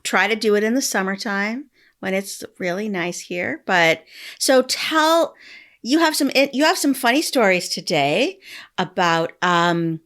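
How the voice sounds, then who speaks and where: A woman's voice: mid-range voice, lively intonation, unhurried, fairly bright tone. A woman hosting a podcast, talking in an informal, chatty, somewhat rambling way. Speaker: podcast host. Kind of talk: casual interview chat.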